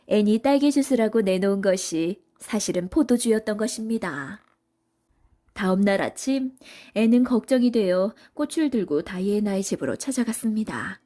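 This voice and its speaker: A woman's voice: mid-range voice, dark tone. A woman reading scripted narration for a children's story as a storybook narrator.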